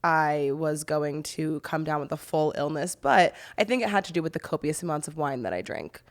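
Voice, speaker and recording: A woman's voice: low voice, lively intonation, moderate pace. A woman with a touch of sniffles, co-hosting a podcast with giggly, teasing banter. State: stuffy with sniffles. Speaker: podcast co-host. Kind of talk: casual chat.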